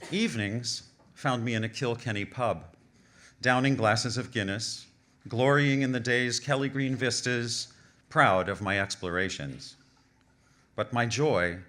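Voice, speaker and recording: A man reads a humorous personal essay at a live event, with a wry, measured, literary delivery and deliberate emphasis. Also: mid-range voice, sharp tone. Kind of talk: scripted storytelling, humorous travel essay read aloud. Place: live reading on stage at an indoor venue.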